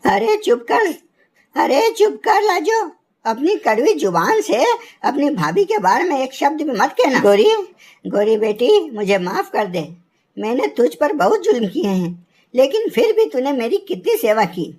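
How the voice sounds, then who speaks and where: A woman as high-voiced, domineering mother-in-law bird. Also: big pitch swings, a little slow, a little mellow, husky, heavily acted. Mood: stern, then remorseful. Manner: harsh scolding, melodramatic. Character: scolding, domineering mother-in-law bird, older, partial to her daughter. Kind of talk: animated moral story acting.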